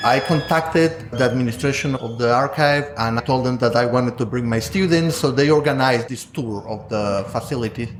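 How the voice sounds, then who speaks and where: A man speaking as a guest scholar in an interview, measured and thoughtful, often ending points with a questioning "no?". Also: medium pitch, reverberant, background noise. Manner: thoughtful, measured, tags statements with "no?". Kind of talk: conversational interview.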